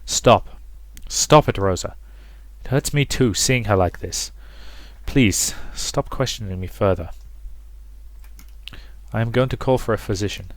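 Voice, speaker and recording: A man voicing a guarded, worried marquis in a visual novel, speaking in a grave, measured way. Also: lowish voice, no echo, natural voice. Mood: firm, pained.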